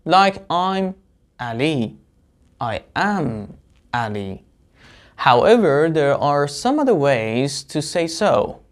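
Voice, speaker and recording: A man speaks as an online English teacher at a slow, measured pace. His delivery is deliberate and explanatory, laying out phrases one at a time for learners. His voice sits at the higher-middle to ordinary range for a man. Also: sing-song. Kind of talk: instructional lesson to viewers.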